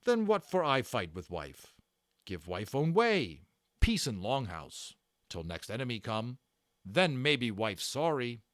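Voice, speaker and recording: A man acts out a proud and stern storybook warrior chief. He speaks in weighty, clipped broken sentences with big swings of pitch, bitter yet honorable. The sound is close and dry. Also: big pitch swings, slow pace, fairly bright tone, no echo. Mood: grudging. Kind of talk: dramatic storybook character reading.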